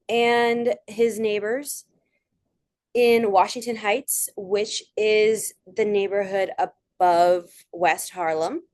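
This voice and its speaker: A woman's voice: a little high, darkish tone. Chatty young woman presenting theater picks. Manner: chatty, with many "um" fillers and asides. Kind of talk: casual online presentation.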